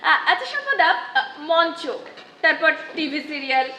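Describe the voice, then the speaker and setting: A woman's voice: high voice, big pitch swings, normal speed, bright, forceful tone, full character voice. A woman acting a gushing, flustered celebrity interviewer in a stage play. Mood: gushing, curious. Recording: echoey, faintly noisy hall.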